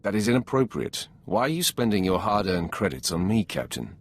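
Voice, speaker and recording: An actor playing a commanding, self-assured Sith lord in a video game, with an authoritative, measured manner. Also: male voice, lowish voice, very animated, slightly rough, heavily acted. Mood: cool, commanding.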